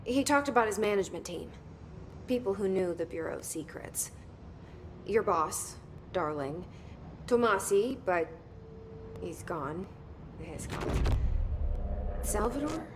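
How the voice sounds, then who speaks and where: A woman acting a guarded, determined young heroine in a video game, in a low-key, searching manner. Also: expressive, slow, pauses often, slight background noise. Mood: urgent, focused.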